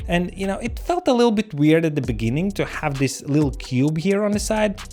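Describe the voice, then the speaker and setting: A man's voice: fairly high pitch, sing-song, fairly quick, darkish tone. Man presenting a casual gear review over music. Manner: conversational, explanatory, direct address to viewers. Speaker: gear reviewer and filmmaker, channel host. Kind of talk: casual scripted product review to camera. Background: background music.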